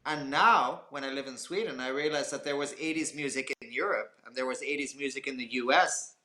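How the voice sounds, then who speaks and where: Animated, bright-voiced Canadian-born man in his forties. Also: medium pitch, fairly quick, reverberant. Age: 40s. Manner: animated storyteller, jokey asides. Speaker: guest. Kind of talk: casual chat.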